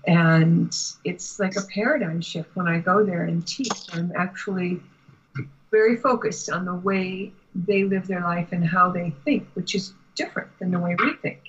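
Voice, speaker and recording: Woman, American accent, husky voice, deliberate conversational explaining, slightly muffled lo-fi sound. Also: on the low side, steady tone. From United States. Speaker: guest spiritual teacher. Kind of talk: conversational interview talk with anecdotes.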